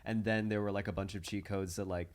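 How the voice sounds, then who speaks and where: A man with a fairly low voice hosting a casual podcast chat. He lays out anecdotes in careful, step-by-step detail. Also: level tone, quick pace.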